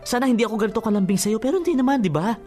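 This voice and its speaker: A man's voice: sits high, animated, rapid-fire, darkish tone, soft background music. An actor playing a sweet-talking young boyfriend in a radio drama, coaxing and pleading his case affectionately. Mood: defensive, pleading.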